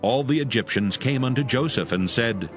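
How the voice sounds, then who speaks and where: A man narrating a dramatized scripture reading in a solemn, expressive way. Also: medium pitch, normal speed, music in the background.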